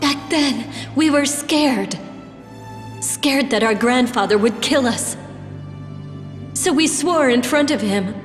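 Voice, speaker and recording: Casting: young fantasy game princess, earnest and sorrowful, unhurried delivery, roomy echo. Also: female voice, high-pitched. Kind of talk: scripted video game voice acting. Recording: roomy long reverb with music.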